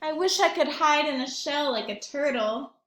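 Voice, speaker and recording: Woman as a high-voiced, wishful little chameleon. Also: animated, moderate pace, a bit bright, reverberant.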